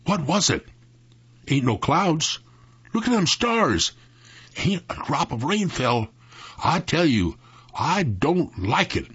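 A man's voice: a little high, big pitch swings, slow, long pauses, a bit bright, husky, no echo, lo-fi sound, pitched above the performer's own voice, full character voice. A man voicing a frightened country man in a spooky story, with a rural Southern US accent and a quavering, folksy, panicky way of talking. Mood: uneasy, frightened. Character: frightened, trembling country man, wary of ghosts. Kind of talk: dramatic storytelling.

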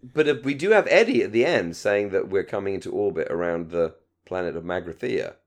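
A man's voice: low voice, lively intonation, brisk. A man chatting casually and informally as a podcast co-host, giving his opinions and often circling back over his phrases. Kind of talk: casual chat.

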